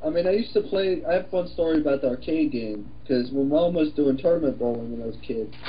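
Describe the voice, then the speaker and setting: A man's voice: on the high side, lively intonation, fast, highs cut off. A man co-hosting a casual film chat with friends, rambling and swearing freely. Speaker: podcast co-host.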